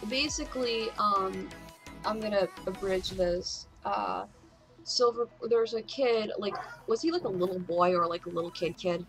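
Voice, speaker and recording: A woman's voice: mid-range voice, a little slow. A woman chatting casually and conversationally, with informal, sweary phrasing. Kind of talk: casual chat. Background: music.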